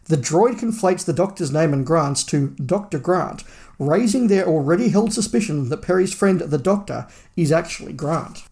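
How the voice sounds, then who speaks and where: Male podcast host, fairly high voice, scripted story recap, read-aloud narration. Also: animated, normal speed, a little mellow. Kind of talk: scripted plot summary narration.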